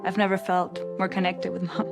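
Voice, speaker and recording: A woman playing a concerned grown daughter in a health awareness ad, giving a soft testimonial. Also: on the low side, monotone, brisk, vocal fry, over music. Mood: gentle, earnest.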